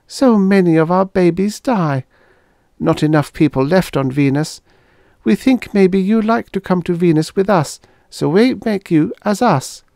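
A man's voice: high voice, measured pace, gravelly, dark tone, no echo, clean studio sound, pitched above the performer's own voice. A man voicing a woman from Venus who speaks broken English, dropping small words. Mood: sad. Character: alien woman from Venus, simple and earnest.

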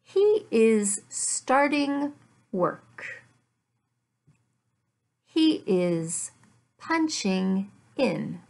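Very slow-paced woman teacher, slightly high voice. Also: big pitch swings, gravelly, a little mellow. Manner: very deliberate, careful enunciation, repeats phrases for learners. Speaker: English teacher. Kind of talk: slow, simplified language lesson read aloud. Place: furnished room at home.

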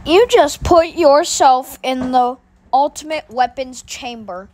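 A woman's voice: sits high, takes it slowly, a little mellow, a little hiss or hum. A woman voicing a bossy older boy who gloatingly announces punishments in a homemade skit.

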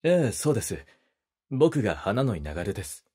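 A gentlemanly teasing photographer. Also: male voice, on the low side, sing-song, normal speed, slightly rough. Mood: polite, gentle. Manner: soft-spoken, polite, teasing. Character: gentlemanly young male wedding photographer, polite and teasing. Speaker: voice actor in a situation drama. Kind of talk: audio drama for women, spoken to the listener.

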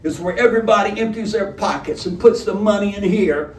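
A man voicing a menacing, rough male robber who leads a gang, with a gruff, swaggering manner. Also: sits high, fairly quick, slight background noise, pitched above the performer's own voice, natural voice. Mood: threatening. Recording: roomy distant mic.

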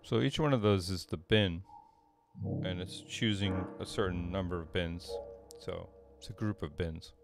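A middle-aged man giving an informal tutorial at his desk, thinking aloud in a halting way as he explains. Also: low-pitched, gravelly, over music. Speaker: composer demonstrating audio programming. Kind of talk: informal screen-share tutorial. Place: small indoor room at a desk.

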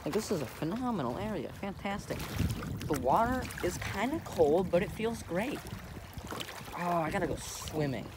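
A man's voice: sits high, very animated, normal speed. Young American guy vlogging through heavy outdoor noise. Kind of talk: casual rambling vlog to camera. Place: outdoors on a lake in a kayak and on shore. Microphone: phone held or propped close. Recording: noisy outdoor wind and water noise.

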